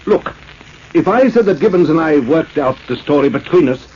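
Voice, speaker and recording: High-pitched panicky businessman played by a man. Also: expressive, moderate pace, darkish tone, lightly acted. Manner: stammering, agitated. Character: panicky, frightened businessman fearing for his life. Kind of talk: 1940s radio acting. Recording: muffled, hissy old radio recording.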